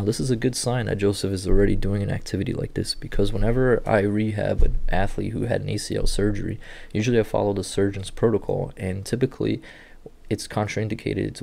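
A native Portuguese-speaking man in his thirties breaks down rehab footage like a clinician. His voice is heavily gravelly and dark, sitting low and staying fairly flat in tone. He speaks straight into a webcam in an indoor room. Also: brisk. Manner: steady, instructive walk-through of clips. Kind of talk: explanatory video commentary.

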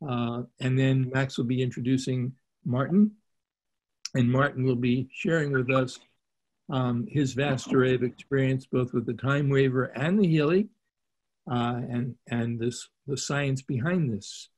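An older man hosting a video call, talking in a halting, rambling way with many fillers, recorded through a laptop webcam in a small room. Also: mid-range voice, fairly even intonation, a little mellow, rough recording. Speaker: call host.

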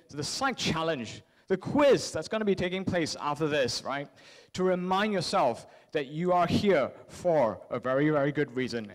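A man in his thirties giving an earnest motivational psychology keynote at a podium microphone, phrasing deliberately with repetition and rhetorical questions. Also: high-pitched. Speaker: keynote speaker. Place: large convention hall, on stage at a lectern.

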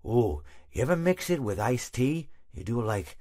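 A man's voice: mid-range voice, very animated, moderate pace, dark tone. A man voicing a long-winded male hippo storyteller from a game, meandering from tangent to tangent with stammered restarts. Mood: chatty. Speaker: game character voice lines. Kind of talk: game voice acting.

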